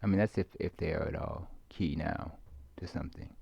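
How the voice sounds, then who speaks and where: Low, creaky man rambling haltingly. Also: warm tone, no echo. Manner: halting, repetitive, meandering, trailing off. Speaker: ASMR video creator. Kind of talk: rambling, musing ASMR talk to the listener. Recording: muffled lo-fi close microphone, dry.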